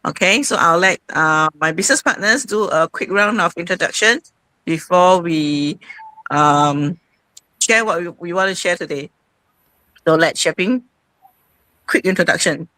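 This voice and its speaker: A woman's voice: on the low side, measured pace, long pauses, bright, forceful tone, dry recording, low-quality recording. A woman, hosting a live online talk in a chatty way with frequent restarts. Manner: chatty, many restarts and rhetorical asides. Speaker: host.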